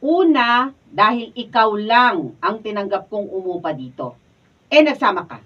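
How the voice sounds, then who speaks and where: A woman's voice: medium pitch, big pitch swings, unhurried, fairly bright tone. An actress playing a hot-tempered, scolding village chairwoman and landlady in a Filipino radio drama, with an indignant, confrontational delivery. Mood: defiant, demanding. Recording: distant mic, dull lo-fi room recording.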